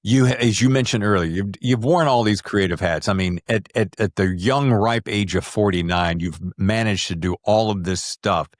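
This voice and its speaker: A man's voice: lowish voice, moderate pace, hardly any pauses, dry recording, clean studio sound. A man hosting a podcast interview, asking questions casually with jokey, emphatic phrasing.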